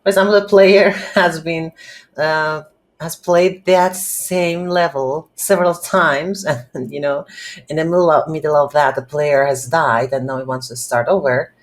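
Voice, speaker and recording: A woman hosting a casual game development tutorial, speaking haltingly as she thinks aloud while working. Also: deep voice, steady tone. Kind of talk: casual screen-recorded software tutorial.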